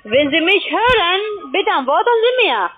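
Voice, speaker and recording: A woman's voice: extremely high pitch, very animated, moderate pace, soft background music. A woman making a formal sales call, greeting politely and insistently checking for a response. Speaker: telephone sales caller. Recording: slightly muffled call audio.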